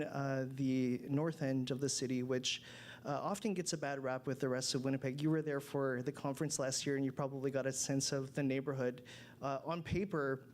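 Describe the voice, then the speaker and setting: A man's voice: mid-range voice, a little mellow, slight background noise. A man from Canada, a city planner, answering on a live panel in an earnest and grateful way, speaking in short hesitant bursts into a stage microphone. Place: conference stage before a live audience.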